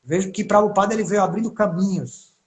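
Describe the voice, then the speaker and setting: A man's voice: high voice, brisk. A man with a Brazilian accent, hosting and giving a devotional talk in an online spiritual interview. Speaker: host of an online spiritual mentoring session.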